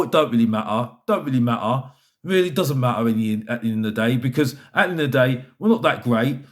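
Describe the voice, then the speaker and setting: A man giving an opinionated football fan rant, exasperated and emphatic, repeating his phrases to drive points home. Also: mid-range voice. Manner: exasperated, fed up.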